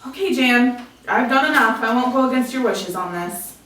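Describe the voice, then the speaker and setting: A woman's voice: a little high, moderate pace, fairly bright tone, close to an everyday voice. A woman reading the part of a hesitant, earnest witch who regrets her temper, in an amateur script table read. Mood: earnest warning. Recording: distant mic, echoey room, faint noise.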